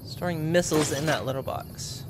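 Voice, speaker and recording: A woman streamer talking casually to herself while playing a game, slightly hoarse and clearing her throat, recorded at a home desk mic. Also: deep voice, moderate pace, noisy background. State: losing her voice. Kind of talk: casual gameplay commentary.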